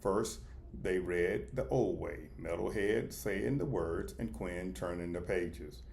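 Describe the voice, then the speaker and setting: A man narrating a storybook as a reader, deliberate, in short and sometimes halting phrases. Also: low voice, vocal fry, a little mellow, a little hiss or hum. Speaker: audiobook reader.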